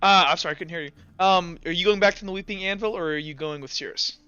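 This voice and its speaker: High-pitched, clear-voiced man running a game. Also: quick pace, bright, forceful tone, no echo, highs cut off. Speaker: game master. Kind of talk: narrating a tabletop game casually.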